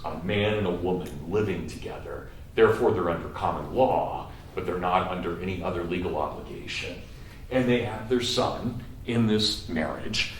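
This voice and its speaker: Kansas guy lecturing a class, lowish voice, mic far off in a big echoey room. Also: slight background noise. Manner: animated storytelling, repeats words for emphasis. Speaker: college professor.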